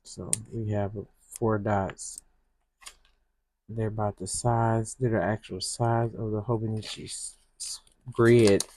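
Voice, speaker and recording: A woman's voice: extremely low pitch, level tone, a little hiss or hum. A woman from Michigan with a Southern US accent, rambling in a casual way as she decorates her planner. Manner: slow, soft-spoken, rambling with restarts. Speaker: planner vlogger.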